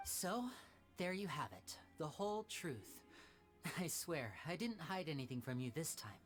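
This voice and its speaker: A man's voice: on the high side, sing-song, a little slow, soft background music. An actor playing a suave young male stage magician in a video game, earnestly explaining himself. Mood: sincere, conceding.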